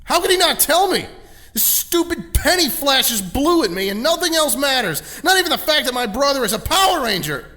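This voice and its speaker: A man's voice: extremely high pitch, big pitch swings, a little fast, bright, forceful tone, heavily acted. An actor playing a bitter, grieving young man in an audio drama, with curt, cutting delivery. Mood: furious. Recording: reverberant hall sound.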